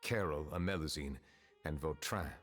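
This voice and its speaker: Video game chief justice, centuries old: very low voice, solemn, earnest, formal delivery. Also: male voice, moderate pace, fairly bright tone. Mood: solemn, restrained. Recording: game music behind.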